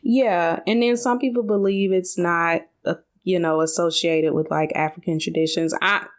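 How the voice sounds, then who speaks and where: A woman's voice: lowish voice, fairly even intonation, moderate pace. Woman, podcast co-host, casual storytelling, conversational, colloquial asides.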